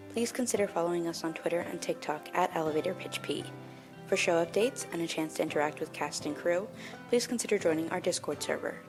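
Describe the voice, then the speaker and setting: A woman reading scripted podcast credits as an announcer. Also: lowish voice. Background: loud-enough music bed.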